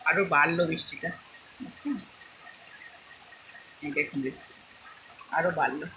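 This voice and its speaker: A woman's voice: deep voice, moderate pace, rough recording. A woman chats casually to viewers as a vlogger, musing and repeating her remarks. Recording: loud rain noise over voice. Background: steady rain and falling water.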